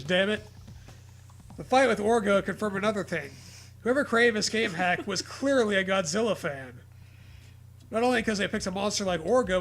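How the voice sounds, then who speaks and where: A man hosting a dramatic reading of a story, mixed with crude, joking commentary. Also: sits high. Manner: profane, jokey, animated reactions.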